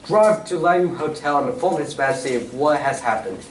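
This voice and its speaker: A man playing a confident Victorian gentleman detective in a radio drama read on stage, with formal, old-fashioned phrasing. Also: on the high side, brisk, close to an everyday voice. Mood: assured, explanatory. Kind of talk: 1940s-style radio drama stage acting. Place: community theatre stage reading. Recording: echoey hall, distant mic, faint noise.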